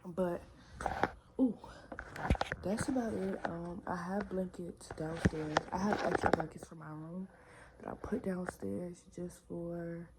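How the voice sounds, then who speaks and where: A young woman vlogging from her bedroom in relaxed, rambling casual chat, full of slang and fillers. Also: fairly low pitch, slow pace, very noisy. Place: bedroom at home.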